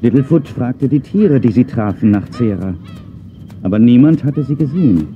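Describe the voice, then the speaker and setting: A man narrating a children's audio play as a dramatic, animated storyteller. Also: medium pitch, normal speed, a little hiss or hum, close to an everyday voice. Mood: calm storytelling. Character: animated male storyteller of a children's dinosaur tale. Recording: dull cassette-era audio play recording.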